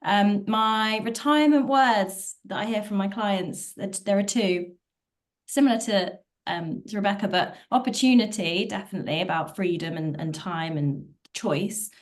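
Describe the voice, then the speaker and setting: A woman's voice: medium pitch, moderate pace. A woman, a travel coach, speaking enthusiastically with frequent fillers on an online panel.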